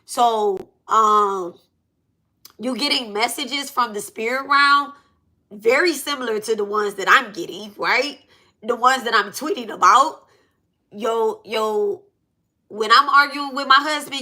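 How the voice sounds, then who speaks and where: Bright-voiced, blunt woman in her thirties. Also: a little high, a little slow. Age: 30s. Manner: blunt, emphatic, repetitive, profane. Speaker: spiritual reader and channel host. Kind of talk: casual venting vlog talk.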